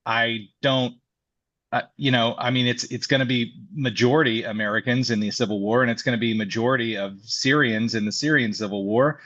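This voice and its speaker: Male co-host, mid-pitched, halting and filler-heavy, muffled lo-fi recording. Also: steady tone, a bit bright. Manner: hesitant, many restarts and fillers. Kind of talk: political discussion. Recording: dull lo-fi sound.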